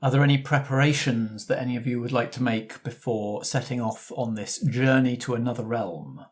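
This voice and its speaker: A man with a mid-pitched voice acts as game master. He narrates a tabletop roleplaying game, setting out scenes and rules for the players. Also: normal speed.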